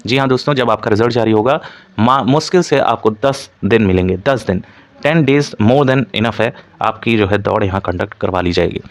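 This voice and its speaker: A man's voice: lowish voice, moderate pace. A man giving an informal analytical lecture as a coaching instructor, mixing in English terms and repeating points as he explains. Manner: Hindi mixed with frequent English terms.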